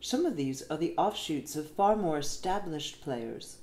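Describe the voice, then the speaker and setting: A woman reading an essay aloud in a measured, expressive way, with deliberate pauses. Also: low-pitched. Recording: roomy echo with faint background noise.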